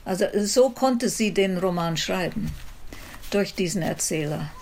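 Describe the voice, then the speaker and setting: A woman scholar explains her subject in an unscripted interview. Her delivery is very slow and halting, with repeated phrases and noticeable vocal fry. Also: lowish voice, expressive, a little mellow, a little hiss or hum.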